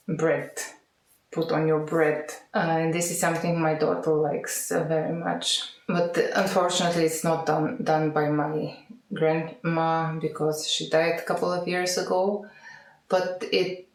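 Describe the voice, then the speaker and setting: A middle-aged woman from Bulgaria with a Bulgarian accent, speaking non-native English in an unscripted monologue to camera, halting and correcting herself as she searches for words. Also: low-pitched. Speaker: vlogger practising English speaking. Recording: echoey, roomy sound.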